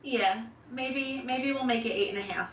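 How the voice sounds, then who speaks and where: A woman's voice: a little high, normal speed, fairly bright tone, slight background noise. A young woman from New Jersey talking as a musician guest, casual and upbeat with lots of fillers. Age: young adult. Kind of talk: remote interview. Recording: echoey distant lo-fi call audio.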